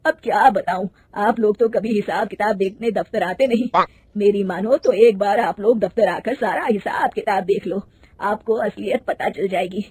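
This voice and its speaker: A woman voicing a kindly old male accountant who talks slowly and fatherly in a husky voice. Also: mid-range voice, dry recording, lightly acted. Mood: worried. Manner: slow, fatherly, loud.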